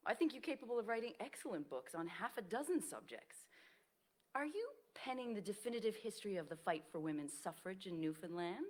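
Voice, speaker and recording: A woman's voice: medium pitch, very animated, brisk, darkish tone. An actress playing a loyal, witty, self-assured woman friend in a staged reading of a period drama, speaking formally and teasingly. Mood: teasing, encouraging.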